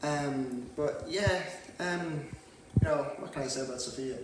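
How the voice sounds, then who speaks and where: A man playing a weary, sarcastic housemate giving a hesitant, deadpan interview in a mock reality show sketch. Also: fairly high pitch, measured pace, lightly acted. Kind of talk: comedy mockumentary sketch. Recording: very echoey, distant, noisy room.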